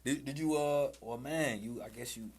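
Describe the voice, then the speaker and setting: A man with an ordinary mid-range voice runs a relaxed interview. His questions wander, and he speaks in easy slang while cheering his guest on. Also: medium pitch, normal speed. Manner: encouraging, asks rambling questions in slang. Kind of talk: casual interview.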